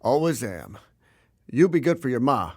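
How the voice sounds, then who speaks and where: A man's voice: mid-range voice, big pitch swings, normal speed, slightly rough, dry recording. An actor playing a busy, affectionate father and husband who hedges and hesitates in a period audio drama.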